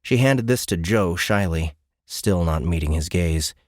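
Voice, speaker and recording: A man's voice: low-pitched, expressive, a little slow, no room sound, clean studio sound. A man reading scripted fiction as an audiobook narrator in a dramatic storytelling style. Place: dry recording studio.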